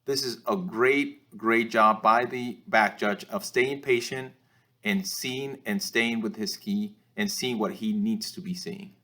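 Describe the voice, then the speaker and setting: A man narrates a sports training film review as an instructor, explaining in a measured, slightly hesitant way. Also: lowish voice, bright, forceful tone. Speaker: football officiating instructor.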